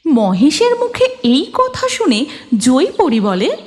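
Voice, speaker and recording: A woman's voice: high voice, wildly swooping pitch, takes it slowly, darkish tone. A woman narrating a scripted fairy tale as a storyteller.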